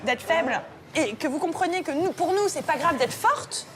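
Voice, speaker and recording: A high-voiced woman argues forcefully in a lively group discussion. She hammers her point with repeated questions and drops the occasional swear word. A faint hum of the room sits behind her. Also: normal speed. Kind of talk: heated group debate.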